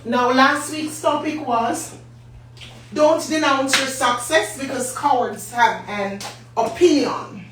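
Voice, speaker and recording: Hoarse Jamaican woman hosting slowly. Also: sits high. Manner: warm, chatty, greets viewers by name. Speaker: business talk show host. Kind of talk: casual live-stream talk. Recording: echoey room, distant mic, faint hum.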